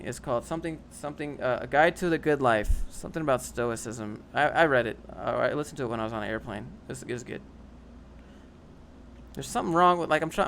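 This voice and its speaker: A man's voice: mid-range voice, vocal fry, a bit bright, a little hiss or hum. Sarcastic man chatting on a livestream. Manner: dry, sarcastic, rambling. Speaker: streamer reading chat. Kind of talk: casual livestream chat.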